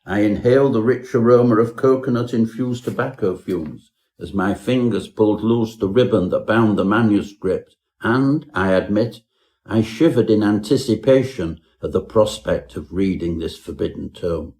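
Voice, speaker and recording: A man's voice: on the low side, moderate pace, a little mellow, lightly acted. A man playing a pompous Edwardian professor, narrating his own parody ghost story in a florid, melodramatic way. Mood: pompous, confiding.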